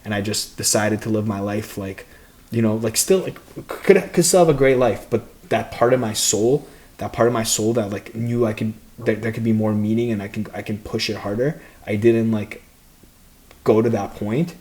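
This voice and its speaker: A man's voice: on the low side, normal speed, a little mellow. A young man chatting casually on a podcast as a co-host and fighter, laid-back and rambling, full of slang and swearing. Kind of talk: casual chat.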